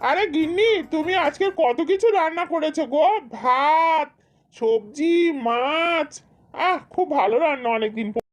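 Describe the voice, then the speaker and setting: A woman's voice: high voice, measured pace. A woman voicing a hardworking, weary village farmer and vegetable-selling father in an amateur cartoon dub. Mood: pleased. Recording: music under the voice.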